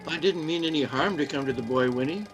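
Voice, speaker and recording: Guilty husband for radio drama, male actor: defensive and pleading, fairly high voice, music under. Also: fast, lightly acted. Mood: defensive, agitated. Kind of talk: radio play acting. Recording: live-streamed radio play, music behind.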